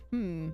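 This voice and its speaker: A woman's voice: fairly high pitch, darkish tone. A woman live streamer chatting casually over a game, giggly and rambling. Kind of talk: casual gaming stream chat. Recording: background game music.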